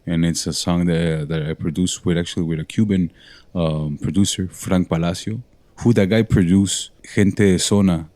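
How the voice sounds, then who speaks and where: Low, dark-voiced man, rambling musician guest. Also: moderate pace, no room sound. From Montreal, Canada. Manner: rambling, self-correcting, animated storytelling. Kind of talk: casual interview talk.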